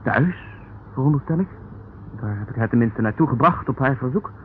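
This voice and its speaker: An actor playing a haughty, evasive aristocratic lord in a Dutch radio detective drama, pompous and condescending. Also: male voice, mid-range voice, animated, brisk, lightly acted. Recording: tinny, band-limited, noisy old radio recording.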